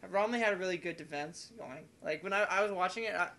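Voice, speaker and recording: A young man in casual, rambling podcast chat with friends, telling stories in an excitable, giggly way and acting out what people said. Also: sits high, fast, crowd noise. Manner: excitable, giggly storytelling with acted-out quotes. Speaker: podcast host and video maker.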